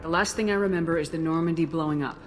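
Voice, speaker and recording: A woman's voice: deep voice, fast talker, slight background noise, lightly acted. An actress playing a determined female military commander in a video game, direct and controlled. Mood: composed, probing. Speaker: video game character actor. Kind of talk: video game dialogue acting.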